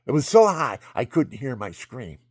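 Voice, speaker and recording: A man telling a rambling personal story in a monologue, jumping between loud outbursts and low mutters. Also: sing-song, moderate pace, husky, no echo, studio quality. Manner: calls himself low-voiced.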